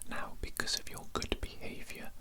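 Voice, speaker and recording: A man's voice: moderate pace, natural voice. A man voicing an evil cannibal doctor in a whispered ASMR roleplay, formal and politely domineering, repeating himself as he asserts control. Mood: controlled, menacing. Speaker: ASMR performer.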